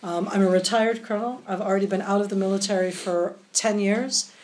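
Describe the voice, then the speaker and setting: A woman's voice: mid-range voice, steady tone, a little fast, darkish tone. An American woman in her fifties giving a public lecture to a group, explaining at length with many asides. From United States, California. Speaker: guest lecturer.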